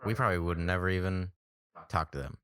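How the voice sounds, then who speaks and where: A man's voice: low-pitched, brisk, a bit bright. A young man co-hosting a podcast, musing in laid-back casual chat. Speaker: podcast co-host.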